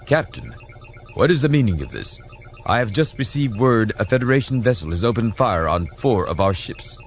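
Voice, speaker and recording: Man playing an indignant alien commander, raised pitch. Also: very animated, moderate pace, slight background noise. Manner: formal, haughty. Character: proud, indignant male Romulan alien commander.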